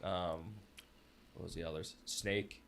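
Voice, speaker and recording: A man's voice: low voice, fairly even intonation, slow pace, vocal fry, fairly bright tone, a little hiss or hum. A man hosting a casual discussion in an opinionated, emphatic, sweary manner. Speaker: podcast host steering the discussion. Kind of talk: casual chat.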